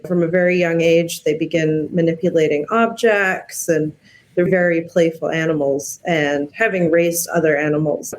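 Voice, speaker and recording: A woman speaking as a researcher on a panel, explaining things in an informal, animated and emphatic way. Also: fairly low pitch. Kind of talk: casual explanatory chat.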